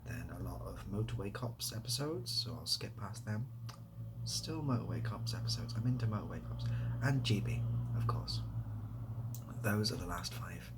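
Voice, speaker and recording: A man speaking softly in a casual ASMR style, breathy and often dropping to a whisper, rambling hesitantly with a self-deprecating manner. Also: fairly low pitch, lively intonation, normal speed, background noise. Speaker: ASMR video creator and host. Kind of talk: soft-spoken ASMR, casual question-and-answer to camera.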